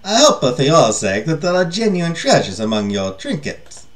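A man's voice: fairly high pitch, sing-song, moderate pace, pitched above the performer's own voice. A man voicing a grumpy, jaded king from a storybook, imperious and dismissive. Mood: grumpy, threatening. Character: haughty, jaded, grumpy king who scorns magic. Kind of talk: storybook character reading.

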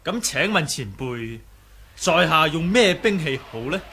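An actor playing a polite, confident young martial arts hero with a Hong Kong Cantonese accent in a 1980s radio drama. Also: male voice, on the high side, big pitch swings, unhurried, vocal fry, sharp tone, full character voice. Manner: polite, earnest. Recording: noisy old radio drama recording.

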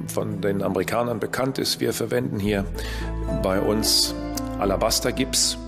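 Man, forensic expert, low gravelly voice, technical explanation, reverberant room. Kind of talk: explanatory interview statement. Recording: reverberant room, faint music. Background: faint music.

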